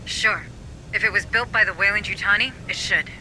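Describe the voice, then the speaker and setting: A cocky marine driver for a sci-fi game. The voice is very high for a man and piercingly bright, with a flippant, breezy delivery. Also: brisk, a little hiss or hum, poor audio. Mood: confident.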